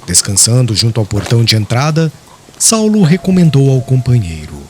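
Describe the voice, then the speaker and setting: A man's voice: mid-range voice, very animated, normal speed, a little hiss or hum. A man narrating a literary audiobook in a solemn, dramatic storytelling style.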